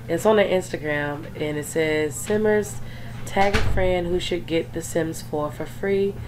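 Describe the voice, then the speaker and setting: A young woman, hoarse from being sick, chatting in a rambling, casual way with lots of fillers while talking over a screen recording. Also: on the low side. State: hoarse from illness. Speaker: gaming YouTuber. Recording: faint background noise.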